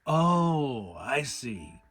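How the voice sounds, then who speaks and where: A man's voice: mid-range voice, big pitch swings, very slow, fairly bright tone, breathy edge, no room sound, soft background music, full character voice. A man voicing a simple-minded, humble toy bear who speaks in an earnest, musing way in a storybook reading. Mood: puzzled.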